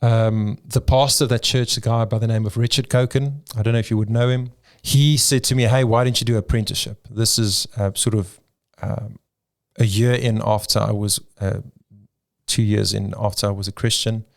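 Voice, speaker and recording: Low-voiced South African pastor. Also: male voice, steady tone, normal speed, creaky. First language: Afrikaans. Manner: thoughtful, with frequent ums and restarts. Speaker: pastor and church planter. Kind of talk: interview storytelling.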